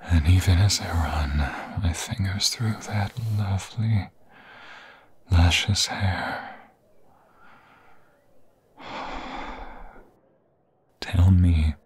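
A man playing a lovestruck, pleading vampire fiancé in an intimate romantic ASMR roleplay, breathy and husky, often slipping into whispers, with halting emotional delivery. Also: deep voice, exaggerated voice. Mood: tender, aching.

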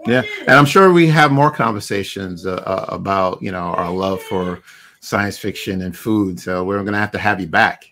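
A man's voice: low voice, moderate pace, fairly bright tone. A middle-aged man from Barbados hosting a podcast over a video call, chatting in an easygoing way with lots of "you know" fillers. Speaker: podcast host. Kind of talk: casual interview chat. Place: home room with shelves of figures.

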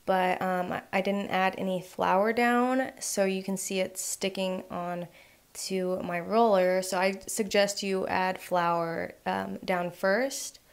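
A woman's voice: mid-range voice, slow. Casual young woman talking through a recipe. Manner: relaxed, filler-heavy instructions with frequent "um" and "like". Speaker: cooking channel host.

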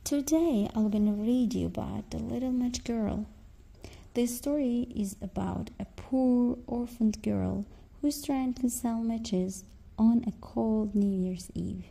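Slow, mellow woman storyteller. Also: a little high, creaky, husky. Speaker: children's storyteller. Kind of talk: scripted fairy-tale narration for children.

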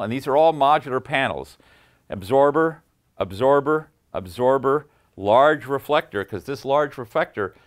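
An older man giving a technical demonstration as an audio engineer, explaining emphatically and proudly. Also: medium pitch. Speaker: audio engineer presenting his design. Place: small acoustically treated home theater room.

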